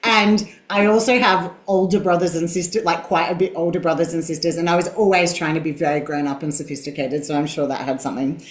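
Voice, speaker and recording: A woman in her forties with a low, boomy mezzo voice speaks as a guest in a relaxed interview. She thinks aloud, makes fun of herself and laughs easily. The recording has a noticeably roomy echo. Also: fast, few pauses. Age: 40s. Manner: reflective, self-deprecating, laughs easily. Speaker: guest, singing teacher. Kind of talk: conversational interview.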